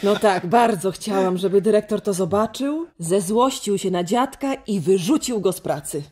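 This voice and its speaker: A woman's voice: mid-range voice, normal speed, darkish tone, slightly rough, close to an everyday voice. An actress playing an easygoing, lightly amused mother and grown-up daughter in a children's radio play.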